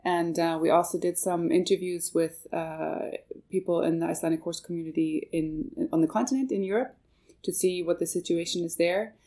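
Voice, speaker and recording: A woman who grew up in Canada and whose first language is German, speaking low with a gravelly fry. Her tone is dark and mellow. She answers at length and hesitantly, with many fillers and restarts. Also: fairly even intonation, normal speed. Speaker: guest, manager of a marketing project. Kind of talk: interview answers.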